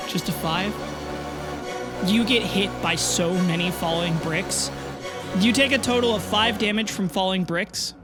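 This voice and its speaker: Male game master, high voice, casual improvised fantasy narration, music playing prominently underneath. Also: a little slow. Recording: loud music bed behind the voice.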